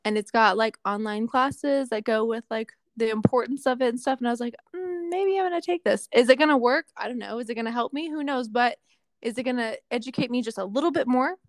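A woman's voice: a little high, fairly quick, no echo, clean studio sound. A woman in her late thirties chatting openly and with self-deprecating humor, with lots of fillers. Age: 30s. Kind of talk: casual chat.

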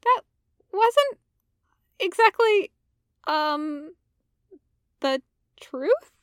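Woman putting on a skeptical, high-pitched teenage girl. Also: big pitch swings, drawn-out words, no room sound, clean studio sound, heavily acted. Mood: hesitant, dawning horror.

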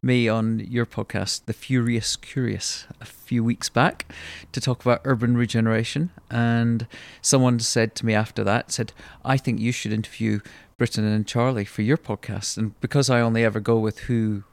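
A man hosting a podcast interview, asking questions with many pauses and restarts. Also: medium pitch, flat delivery, gravelly, no echo. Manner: hesitant, many uhs and restarts. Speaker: podcast host.

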